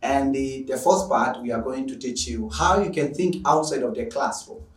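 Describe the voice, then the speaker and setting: A man's voice: medium pitch, normal speed, echoey room, far from the mic. A Tanzanian-accented man opening an online lecture, enthusiastic and repetitive. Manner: enthusiastic, repetitive, long-winded. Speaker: online teacher. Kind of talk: lecture introduction.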